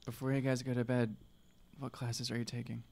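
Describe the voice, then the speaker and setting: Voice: mid-range voice, level tone, brisk, creaky, darkish tone. A tabletop player improvising casual role-play in a dry, understated manner.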